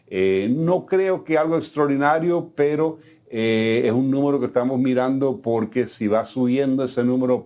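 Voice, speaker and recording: A man's voice: fairly low pitch, a little mellow. A man hosting a business news show, talking conversationally with frequent fillers and restarts.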